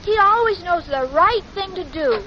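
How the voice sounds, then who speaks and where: A woman's voice: very high voice, lively intonation, normal speed, a little hiss or hum. An actress playing a sweet, scatterbrained young woman in 1940s radio sitcom style, naive, earnest and hesitant. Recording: muffled old radio recording with audience.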